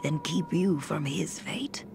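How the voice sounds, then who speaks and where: A woman voicing a grieving, withdrawn townswoman in a fantasy video game, half whispering in a breathy, creaky voice. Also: low voice, takes it slowly, music in the background, full character voice. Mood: sorrowful. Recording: noisy game capture with ambience.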